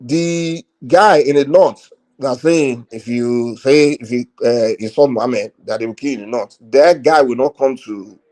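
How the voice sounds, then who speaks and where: A man's voice: mid-range voice, measured pace, darkish tone. A man from Lagos with a Nigerian accent, hosting a heated panel debate and cutting in often with repeated words.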